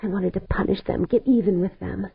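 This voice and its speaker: A woman's voice: mid-range voice, steady tone, a little fast, creaky, slightly rough, dry recording, voice pushed up. A woman voicing an older female scientist and grandmother, tearful and bitter. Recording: tinny phone-like sound.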